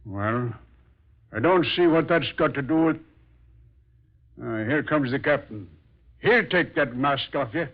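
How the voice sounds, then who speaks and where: A man's voice: medium pitch, lively intonation, unhurried, pauses often. An actor playing a brash, skeptical policeman, slangy and blustery, in 1940s radio drama style. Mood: blustering. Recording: thin, tinny old radio recording.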